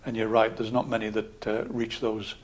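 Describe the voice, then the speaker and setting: A man in his sixties with a Geordie accent, a local councillor calmly answering interview questions in a measured, matter-of-fact way. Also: on the low side, slight background noise. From Scotland, raised in Newcastle area. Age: 60s.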